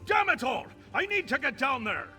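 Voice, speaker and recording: A man's voice: very high voice, big pitch swings, moderate pace, pushed and bright, soft background music, exaggerated voice. A man playing a bitter, betrayed warrior commander in a fantasy video game, with an intense, dramatic delivery. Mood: alarmed anger.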